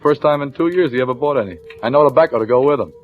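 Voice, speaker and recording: An actor playing a shrewd, weary police lieutenant in an old-time radio crime drama, with clipped colloquial delivery. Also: male voice, medium pitch, racing, lightly acted. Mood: triumphant, pressing. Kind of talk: old-time radio crime drama acting, first-person narration and dialogue. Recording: dull vintage radio recording with music.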